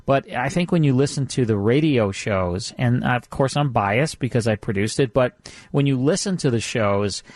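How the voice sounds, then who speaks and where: A man's voice: mid-range voice, expressive, moderate pace, darkish tone, dry recording. Chatty middle-aged man, close dry sound. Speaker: radio show host and producer. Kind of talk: casual radio chat, reminiscing.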